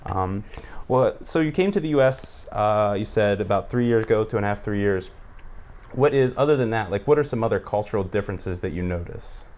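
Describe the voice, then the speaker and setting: A man's voice: lowish voice, lively intonation, brisk, darkish tone. An American man hosting a podcast, talking in a casual, conversational style. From United States. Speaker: podcast host. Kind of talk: casual chat.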